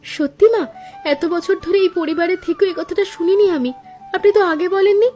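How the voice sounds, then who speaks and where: A woman's voice: very high-pitched, brisk, mellow, highs cut off, soft background music. A woman playing a gracious young daughter-in-law, the elder sister in a cartoon story, earnest and polite. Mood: surprised, moved.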